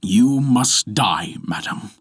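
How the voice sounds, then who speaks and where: A man voicing a cruel, commanding husband from a storybook, with imperious, drawn-out, emphatic orders. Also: medium pitch, big pitch swings, fairly bright tone, husky, dry recording, studio quality, heavily acted. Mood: stern.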